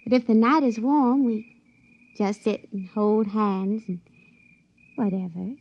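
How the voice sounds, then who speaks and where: A woman's voice: mid-range voice, steady tone, slow, no echo. An actress playing a naive, moody young backwoods girl in a radio drama, with a rural American hill-country accent and a hesitant, folksy way of talking. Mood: shy, tender. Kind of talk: 1950s radio drama acting. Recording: dry, dull vintage radio recording.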